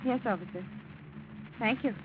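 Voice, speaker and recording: A woman's voice: fairly high pitch, normal speed, natural voice. A thin, tinny old radio recording with light hiss carries an actress as an anxious, cautious woman. She sounds protective, pleading and hesitant in classic 1940s radio drama fashion. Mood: polite, guarded.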